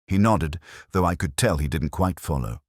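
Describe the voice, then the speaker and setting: A man playing a melancholy older detective inspector, narrating case notes in a measured, reflective, literary way. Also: low-pitched, animated, no echo, studio quality. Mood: solemn, brooding. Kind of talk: scripted first-person literary narration.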